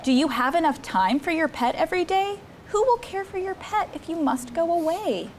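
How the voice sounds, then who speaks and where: A young woman hosting a children's story time, reading aloud in an expressive, sing-song way and chatting with the kids. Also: very high-pitched, normal speed, a little hiss or hum. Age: young adult. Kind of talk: animated read-aloud to children, with chat. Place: indoor studio set with children seated on a rug.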